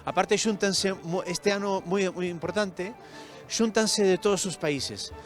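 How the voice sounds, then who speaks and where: Man, organizer interview, hesitant and relaxed, outdoors, music behind. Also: high-pitched, slow. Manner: relaxed, hesitant with fillers and restarts. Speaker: program organizer. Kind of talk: interview comment.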